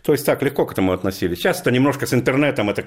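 A middle-aged writer from Ukraine, interviewed for a radio program, talking in a thoughtful way with lots of verbal fillers. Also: male voice, medium pitch, lively intonation, rapid-fire. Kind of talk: relaxed conversational storytelling.

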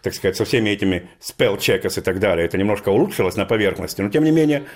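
A middle-aged writer from Ukraine, interviewed for a radio program, talking in a thoughtful way with lots of verbal fillers. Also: male voice, on the low side, rapid-fire. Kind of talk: relaxed conversational storytelling.

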